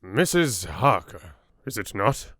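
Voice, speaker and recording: A man playing a low-voiced, courtly older doctor. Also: very animated, slow, a bit bright, no echo, studio quality, heavily acted. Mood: grave, courteous. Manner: formal, courteous, quaint foreign phrasing. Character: courtly, earnest older male foreign doctor. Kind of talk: audiobook character dialogue.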